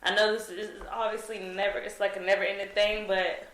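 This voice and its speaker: Young woman, candid interview guest; earnest, halting delivery, echoey room with light noise. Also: mid-range voice, level tone, fairly bright tone. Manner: earnest, hesitant with many fillers. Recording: roomy with faint noise.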